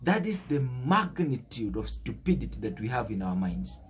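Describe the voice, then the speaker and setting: A man's voice: medium pitch, sing-song, normal speed, slight background noise. A young man with a Kenyan accent giving an emphatic, preachy unscripted political monologue, stressing words and repeating phrases. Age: young adult. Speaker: political commentator.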